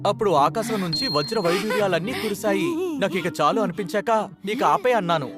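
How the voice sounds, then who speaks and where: Soft-spoken honest young guy in a cartoon, a bit high, polite and earnest, music behind. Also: lively intonation, a little fast. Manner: patient, polite, earnest explaining. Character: kind, honest younger brother who became rich, gentle. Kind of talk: cartoon dubbing. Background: background music.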